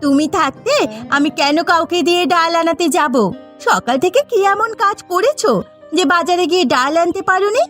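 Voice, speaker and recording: A woman voicing a cruel, scheming, bossy daughter-in-law in an animated village story, scolding with open scorn. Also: very high voice, moderate pace, faint music behind. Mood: demanding. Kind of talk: animated moral story voice acting.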